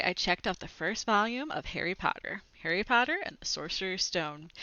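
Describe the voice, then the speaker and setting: A young woman reading a children's story aloud into a headset mic in an expressive, clearly phrased manner, with little asides. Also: mid-range voice, no echo, lo-fi sound. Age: young adult. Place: small home room with bookshelves, indoors. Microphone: headset microphone.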